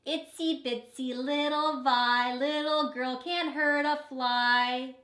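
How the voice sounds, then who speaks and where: A woman's voice: high-pitched, steady tone, takes it slowly, a bit bright, voice pushed up. A woman voicing boastful young princes who tease their little sister in a singsong taunt from a children's storybook. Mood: mocking. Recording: echoey, reverberant room.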